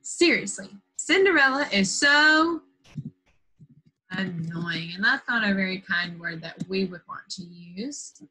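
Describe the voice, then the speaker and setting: Bright-voiced woman teaching young children. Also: medium pitch, lively intonation, slow, breathy edge, low-quality recording. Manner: simple, patient phrasing with frequent questions to young listeners. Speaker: primary school teacher. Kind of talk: interactive children's lesson after a read-aloud.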